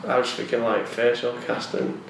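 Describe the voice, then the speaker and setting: A man's voice: medium pitch, flat delivery, normal speed, vocal fry. A man chatting casually as a co-host, rambling and often repeating his words as he feels for what to say. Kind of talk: casual chat about films and games. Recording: very echoey room, distant mic, faint noise.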